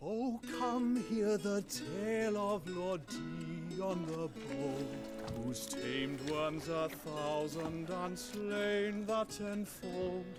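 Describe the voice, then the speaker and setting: A fantasy game bard who sings out a heroic tale. His fairly high voice stretches the words very slowly over loud plucked-string music. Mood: rousing, heroic. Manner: lilting, singing, declamatory. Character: bard performing a heroic ballad. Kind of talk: sung storytelling in a video game.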